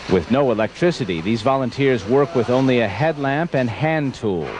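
A man's voice: mid-range voice, expressive, moderate pace, background noise. A man working as a news anchor, narrating reports and interviewing guests in a broadcast style.

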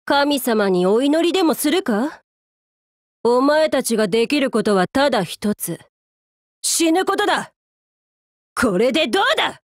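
Anime game villainess: sadistic android commander, swooping high voice, arrogant, rough-spoken menace. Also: female voice, big pitch swings, measured pace, pauses often, no room sound, clean studio sound. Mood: contemptuous. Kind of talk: anime game character voice lines.

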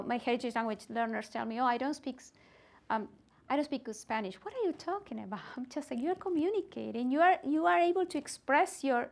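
Middle aged woman running a teacher workshop, gravelly, a bit high, talks with her hands. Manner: animated, gestures while talking, emphatic. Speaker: workshop presenter. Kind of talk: workshop discussion. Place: meeting room indoors.

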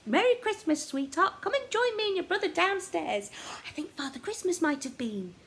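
A woman voicing a jolly, affectionate old grandmother in a children's story, loud and bustling. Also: very high-pitched, big pitch swings, moderate pace, pitched above the performer's own voice, exaggerated voice. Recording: noticeable background noise, home recording.

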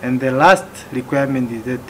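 A man in his thirties from Namibia, calmly going through customs warehouse rules one by one, with some restarts. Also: medium pitch, a little fast, slight background noise. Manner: plain, methodical, listing items with occasional restarts. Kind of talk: explanatory presentation. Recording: music playing behind.

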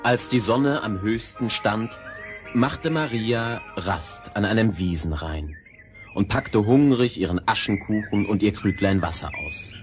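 A man narrating a scripted fairy tale in a husky voice, measured and expressive. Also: lowish voice, music in the background.

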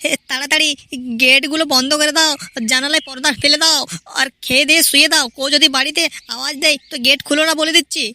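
A man voicing a foul-mouthed, excitable young village husband in an amateur comedy cartoon, scolding in an exasperated way. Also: extremely high pitch, fast talker, heavily acted. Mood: urgent. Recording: very noisy amateur cartoon audio.

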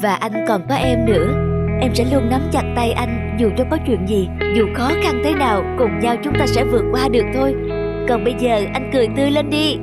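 A woman's voice: a little high, brisk, loud music behind the voice, natural voice. A woman playing a caring young wife in a cartoon drama, earnest and comforting. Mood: reassuring.

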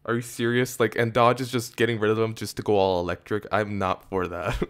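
A young man streaming from a desk mic, chatting casually and excitably with lots of fillers. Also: fairly low pitch, brisk, clear, resonant tone. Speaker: streamer and audio editor. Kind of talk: casual reaction stream chat. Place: indoor room at desk in gaming chair. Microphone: desk microphone on arm.

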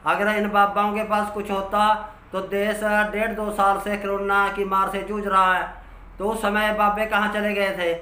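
High-pitched older man. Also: completely flat delivery, measured pace, sharp tone. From Haryana, India. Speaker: dairy farmer giving advice. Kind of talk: informal instructional talk from notes. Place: small curtained room at home. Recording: roomy indoor echo.

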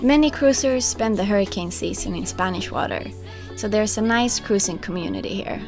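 A woman reading a calm scripted voice-over narration. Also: medium pitch. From Sweden.

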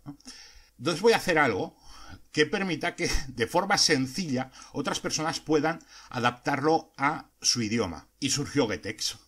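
A man with a Spain Spanish accent hosting a podcast, explaining ideas earnestly with frequent pauses and hesitations. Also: a little high, fairly bright tone, slightly rough. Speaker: podcast host.

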